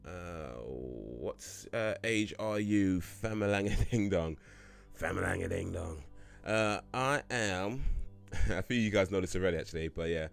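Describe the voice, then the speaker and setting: A man's voice: low-pitched, expressive, measured pace, creaky, clear, resonant tone, soft background music. A man in his late thirties hosting a casual livestream Q&A, rambling through chat questions with lots of fillers and restarts and frequent chuckles. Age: 30s.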